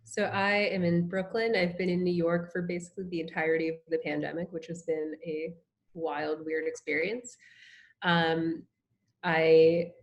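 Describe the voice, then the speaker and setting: A woman chats casually as a guest on a video call, her voice a touch low for a woman. She fills her sentences with ums and little laughs. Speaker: strategist, guest.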